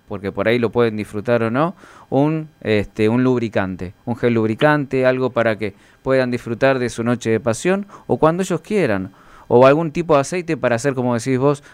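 A man's voice: mid-range voice, clean tone, no room sound. A man in his forties with an Argentine accent, interviewing casually in a tentative, roundabout way. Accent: Argentine Spanish. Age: 40s.

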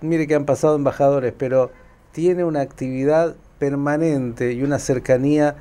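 A man's voice: medium pitch, fairly even intonation, normal speed, warm tone. A man hosts a conversational interview in a courteous, familiar and complimentary manner. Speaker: interviewer, host.

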